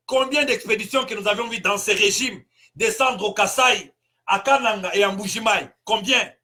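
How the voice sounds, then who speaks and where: Impassioned high-voiced Congolese preacher. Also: male voice. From Congo (DRC). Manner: emphatic, rhetorical questions, bursts of shouting. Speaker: pastor. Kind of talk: impassioned political sermon.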